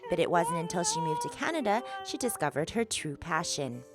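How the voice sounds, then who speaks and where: A woman's voice: fairly low pitch, big pitch swings, fairly quick. A woman reporter narrating a local TV feature in a polished, upbeat scripted delivery. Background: music.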